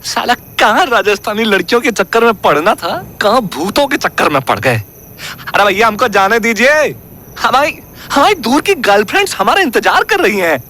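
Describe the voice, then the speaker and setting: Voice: medium pitch, big pitch swings, moderate pace, pushed and bright. A performer playing a cowardly, whiny, girl-chasing young man who pleads comically in a horror comedy. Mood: panicked, pleading. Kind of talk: audio drama acting.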